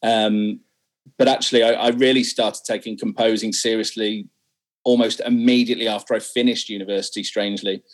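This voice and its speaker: A man's voice: fairly low pitch, flat delivery, a little fast. A young man, a singer, talking candidly about his music in an interview, self-deprecating and laughing often. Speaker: singer guest. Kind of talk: interview conversation.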